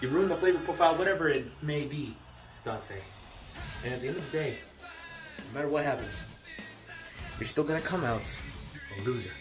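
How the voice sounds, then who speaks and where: A man cutting a trash-talking wrestling promo, taunting his opponent with loud bursts and low sneers. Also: animated, fairly quick, long pauses. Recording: echoey room, far from mic, music behind.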